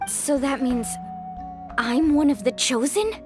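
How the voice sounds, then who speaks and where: A woman's voice: sits high, slow pace. A woman playing an earnest, thoughtful young hero in a video game, reflective and a little hesitant. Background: game music.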